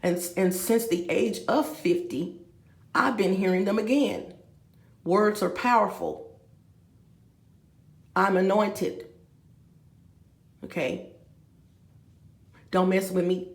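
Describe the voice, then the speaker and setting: A woman's voice: medium pitch, takes it slowly, gravelly, long pauses, roomy sound. A woman, rambling and talking straight to her viewers with many asides. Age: 50s. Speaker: vlogger. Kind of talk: rambling confessional vlog. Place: room with a bed, indoors.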